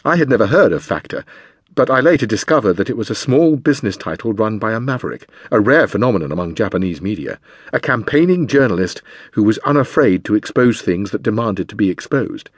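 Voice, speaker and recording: Guy reading an audiobook, lowish slightly husky voice, measured pacing, really dry close sound. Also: expressive, no echo. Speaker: audiobook narrator. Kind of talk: scripted memoir narration.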